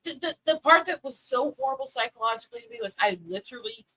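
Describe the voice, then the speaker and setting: Bright-voiced sarcastic woman in her forties. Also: fairly high pitch, animated, fast talker, breathy edge, no room sound, low-quality recording. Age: 40s. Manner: snarky, sarcastic, talkative. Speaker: participant in an online group chat. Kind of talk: casual chat.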